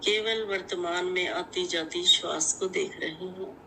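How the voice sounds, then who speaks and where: A woman leads a guided meditation in measured, deliberate, instructive short phrases. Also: on the low side, steady tone, bright, forceful tone, a little hiss or hum, poor audio.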